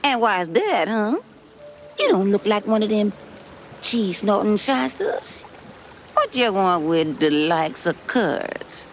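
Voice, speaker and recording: Croaky Cajun swamp fortune-teller. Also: female voice, medium pitch, big pitch swings, slow, faint music behind. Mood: ominous. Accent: Louisiana Cajun. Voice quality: croaky, gravelly. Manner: ominous, teasing. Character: sly superstitious swamp fortune-teller woman.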